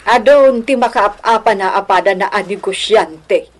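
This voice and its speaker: A woman's voice: mid-range voice, normal speed, close to an everyday voice. A woman acting an older, meddling mother-in-law who scolds and complains in a radio drama. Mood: complaining. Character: meddling elderly mother-in-law, reproachful and self-righteous.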